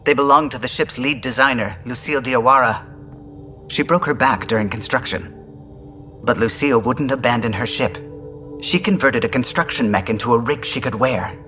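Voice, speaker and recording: A reflective starship crewman from a video game, played by an actor. His mid-pitched voice has a bright, forward tone and a sincere, measured delivery. Faint music runs underneath. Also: lightly acted.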